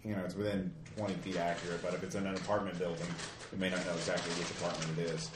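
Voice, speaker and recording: Casting note: low-pitched male game master, halting delivery, echoey room with faint noise. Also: level tone. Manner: halting, full of fillers and restarts. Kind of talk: running a tabletop game.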